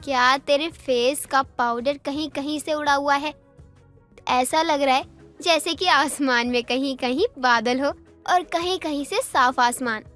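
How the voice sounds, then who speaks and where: Casting: sarcastic cartoon college friend, high-pitched, slangy, always nagging or teasing. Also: female voice, a little slow, fairly bright tone, soft background music. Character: scolding, teasing young college girl friend. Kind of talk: Hindi animated moral story dialogue.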